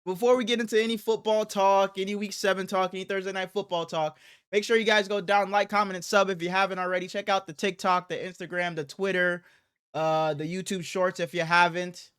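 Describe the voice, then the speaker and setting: Male podcast host, high voice, slightly congested, slangy and profane casual chat. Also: little pitch movement, brisk, fairly bright tone, clear, resonant tone. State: slightly congested, under the weather.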